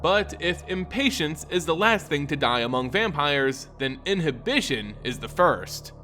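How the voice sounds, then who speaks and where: A man narrating a scripted fantasy story in a theatrical, measured, dramatic way. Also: a little high, very animated, sharp tone, a little hiss or hum. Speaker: lore channel narrator. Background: background music.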